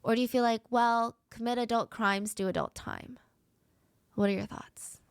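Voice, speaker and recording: A woman's voice: on the high side, fairly even intonation, moderate pace, clean tone, dry recording. A woman with a cold, sounding stuffy and nasal, telling a true crime story conversationally and asking her viewers questions. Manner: conversational, asks the audience questions.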